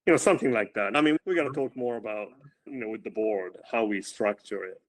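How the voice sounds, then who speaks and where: A male running coach speaking in a casual interview, with a loud voice, often repeating words as he starts his sentences. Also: fairly low pitch, quick pace.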